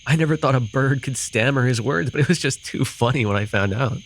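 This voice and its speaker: A man's voice: medium pitch, a little fast, close to an everyday voice. A man acting a rambling, amused forest fae in a fantasy ASMR roleplay, telling his tale with hesitant, apologetic asides. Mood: amused storytelling. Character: rambling, amused male forest-dwelling fae storyteller with bird companion. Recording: noisy with cricket and insect ambience. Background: night forest ambience with crickets and insects.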